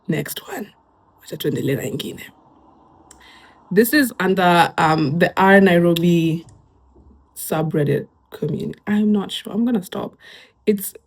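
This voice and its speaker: A woman's voice: fairly low pitch, darkish tone. A young woman with a Kenyan accent, chatting casually as she reacts to online posts and mixing in Swahili phrases. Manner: chatty, switches into Swahili phrases, lots of fillers.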